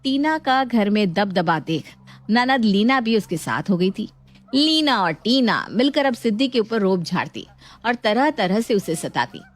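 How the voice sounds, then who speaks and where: A woman's voice: medium pitch, very animated, unhurried. A woman narrating a scripted moral story as a storyteller. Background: music.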